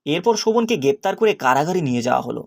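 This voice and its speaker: A man giving scripted narration for an animated children's story. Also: a little high, very fast, creaky.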